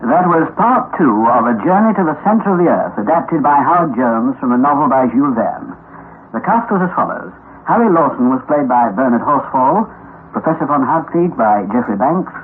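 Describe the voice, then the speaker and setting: A man's voice: mid-range voice, phone-quality sound. A man with a British accent reading a scripted announcement formally as a radio continuity announcer. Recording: thin band-limited old radio recording, hiss.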